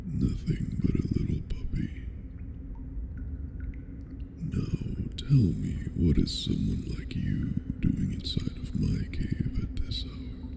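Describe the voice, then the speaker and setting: An ancient dragon master in an ASMR roleplay, with a scorched throat crackling in extreme vocal fry. The delivery is very slow and half-whispered, commanding and condescending, with a teasing, seductive edge. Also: a bass voice, heavily acted. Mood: condescending, probing. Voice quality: burned, gravelly vocal fry, mostly unvoiced.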